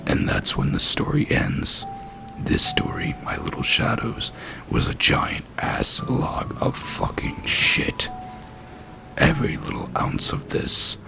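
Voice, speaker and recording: A man with a gravelly voice giving a dramatic reading and scornful review in a deliberate, foul-mouthed manner. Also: mid-range voice, sing-song. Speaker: creepypasta narrator and reviewer. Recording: music playing behind the voice. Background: background music.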